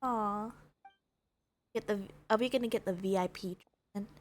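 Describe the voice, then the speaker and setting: A woman casually commentating as she plays a video game, with soft, playful interjections and reactions. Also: medium pitch, moderate pace. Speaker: gameplay streamer. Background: video game music and dialogue.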